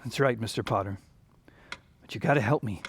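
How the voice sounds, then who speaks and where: A man playing a desperate, short-tempered family man in an amateur radio drama, halting and stammering. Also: medium pitch, slightly rough. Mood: anxious pleading.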